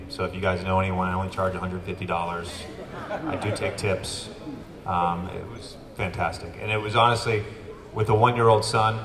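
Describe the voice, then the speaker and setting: Young man, very deep voice, flat and even, measured press answers, distant mic, echoey crowded room. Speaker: pro basketball player at media day.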